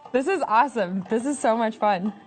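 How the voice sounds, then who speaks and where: A woman's voice: a little high, brisk, faint music behind. A woman hosting a live stream, cheerful and easily amused as she chats. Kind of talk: casual live chat.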